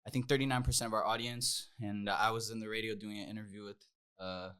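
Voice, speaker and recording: A man's voice: on the low side, level tone, fast talker, bright, forceful tone, clean tone. A young man from Brazil with a Brazilian accent, chatting as a guest on a podcast in eager bursts with restarts. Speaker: guest pop singer. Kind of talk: casual podcast chat.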